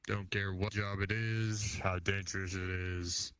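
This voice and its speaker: A man's voice: low voice, level tone, unhurried, fairly bright tone, clear, resonant tone. A man roleplaying a blunt, indifferent mercenary without a name, speaking in terse statements. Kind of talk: in-character improvised roleplay. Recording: dull lo-fi voice chat mic.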